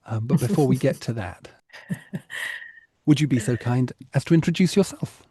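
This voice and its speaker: A man's voice: mid-range voice, lively intonation, normal speed, warm tone, breathy edge, dry recording. A man hosting a podcast, speaking in articulate, formal phrases as he introduces and interviews.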